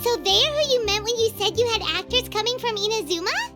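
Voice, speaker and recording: A tiny fairy companion from a video game, squeaky and childlike. The voice is extremely high and bouncy in pitch, eager in manner, over loud music. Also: female voice, animated, fairly quick. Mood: chirpy, curious. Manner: refers to self in third person, eager. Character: small chirpy childlike floating fairy companion, female. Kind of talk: video game character acting.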